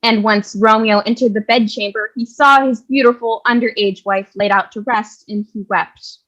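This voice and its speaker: A woman's voice: on the high side, fairly even intonation, normal speed, a bit bright, highs cut off, natural voice. A woman playing a wry, dramatic narrator, reading scripted comic narration for a staged parody. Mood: mock-dramatic. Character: wry, tongue-in-cheek female storyteller narrating a parody.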